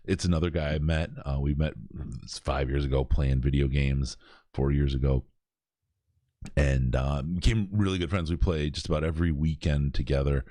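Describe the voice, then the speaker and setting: A man's voice: a bass voice, moderate pace, dark tone, no room sound. A middle-aged man from Michigan with a Michigan accent, hosting a podcast in casual, rambling chat with lots of restarts. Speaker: podcast host. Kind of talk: casual charity stream chat.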